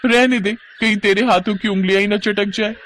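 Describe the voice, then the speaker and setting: A man's voice: sits high, steady tone, fairly quick, a bit bright, a little hiss or hum, voice pushed up. A man voicing a teasing, sensible village girl, the bossy elder sister in a cartoon story. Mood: taunting. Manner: taunting, bossy.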